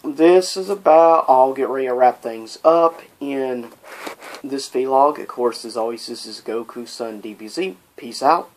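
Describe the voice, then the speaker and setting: A man's voice: mid-range voice, a little slow. A man hosting a casual, opinionated vlog, talking informally with rambling asides and exasperated rhetorical questions. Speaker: video vlogger, host. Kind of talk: casual, opinionated top-list vlog commentary.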